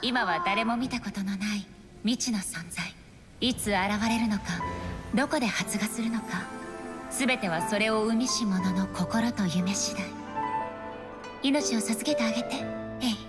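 A woman voicing a gentle, serene departed goddess in an anime game, speaking softly and gracefully like a loving sister. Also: mid-range voice, measured pace, lightly acted. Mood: tender. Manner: soft, tender, graceful. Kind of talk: anime video game acting. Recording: game music behind the voice.

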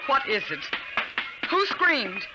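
A woman's voice: fairly high pitch, sing-song, slow, loud music behind the voice. An actress playing an anxious, exasperated suburban mother in a 1950s radio drama, with earnest, fretful delivery. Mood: stern. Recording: noisy old radio recording.

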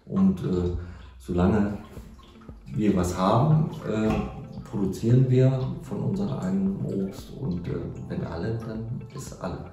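Man, middle-aged craftsman, relaxed demonstration style, very roomy distant sound, music behind. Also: on the low side, measured pace. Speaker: juice maker. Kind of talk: casual hands-on explanation. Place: small tiled juice-pressing workroom indoors. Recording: very echoey tiled room, off-mic, music.

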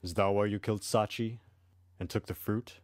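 A man's voice: fairly low pitch, steady tone, normal speed, a little mellow, natural voice. A man playing a cool, defiant young pirate fighter in an anime fan dub, speaking in a stern, terse way.

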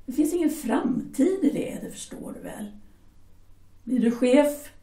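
A woman's voice: high voice, expressive, warm tone. An actress playing a persuasive young wife who insistently urges her husband on, in an audio drama. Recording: roomy echo, distant from mic.

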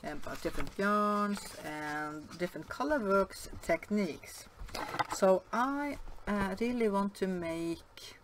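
A woman from Norway with a Norwegian accent talks slowly and a little low, stumbling over words with frequent fillers and restarts. She speaks English as a second language in a relaxed home vlog, and faint room noise sits behind her. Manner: hesitant, many fillers and restarts. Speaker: yarn vlogger. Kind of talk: casual show-and-tell vlog. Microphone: camera above the table, hands only.

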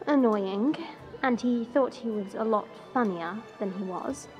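A woman playing a haughty, irritable dragon princess who narrates her own story in a measured, scornful way. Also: fairly high pitch, a little mellow, rough recording. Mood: irritated, disdainful. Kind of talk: first-person story narration. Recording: music bed under the voice.

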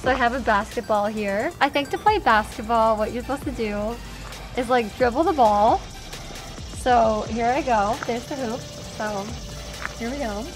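A young woman vlogging in a park, her voice high and a little hoarse. She talks in a giggly, apologetic way, full of fillers. The camera mic catches her outdoors, with clear background music under her voice. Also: moderate pace, poor audio. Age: young adult. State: slightly hoarse, losing her voice. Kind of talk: casual vlog talk to camera. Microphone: handheld camera mic, sometimes far camera.

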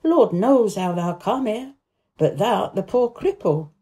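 A woman voicing a shaky, tactless old Yorkshire gardener man with a hoarse voice, in a storybook reading. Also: mid-range voice, sing-song, dark tone. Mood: shaken disbelief. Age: older. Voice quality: hoarse, quavering. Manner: slow, halting dialect speech.

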